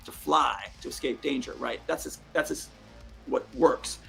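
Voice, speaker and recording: Gravelly, husky male interviewee, rambling, stumbling, played-back clip with faint music. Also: mid-range voice, measured pace, sharp tone, low-quality recording. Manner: stumbling, repeats words. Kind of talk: rambling interview recounting a story.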